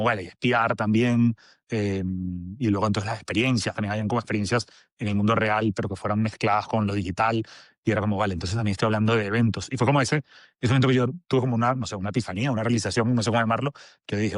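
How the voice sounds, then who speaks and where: A man's voice: on the low side, no echo, clean studio sound. A man in his forties from Venezuela with a Venezuelan accent, a guest speaking casually with lots of fillers and restarts. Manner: rambling, many fillers and restarts. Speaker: agency director, guest. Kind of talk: casual conversational interview.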